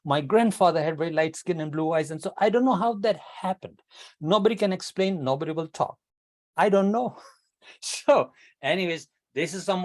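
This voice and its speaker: Older Kenyan-born man, slightly high voice, animated storyteller speaking over a video call to a speaking club. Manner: animated storyteller, addresses "ladies and gentlemen", rhetorical questions. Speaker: club member giving a speech. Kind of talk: prepared speech at a public speaking club. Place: online club meeting.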